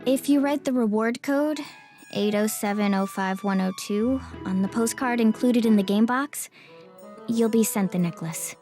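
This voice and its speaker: A woman voicing a reserved, gentle young event guide with a hesitant, halting manner in an English anime game dub. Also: on the high side, soft background music, lightly acted. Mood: secretive.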